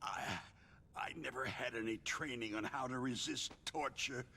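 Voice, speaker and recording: An actor playing a battered, rattled older arms-industry boss held prisoner in a video game, stammering in a strained, gravelly voice. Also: male voice, mid-range voice, sharp tone. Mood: pained. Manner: halting, stammering, agitated. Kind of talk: video game cutscene acting. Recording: game audio with music underneath. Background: cutscene music.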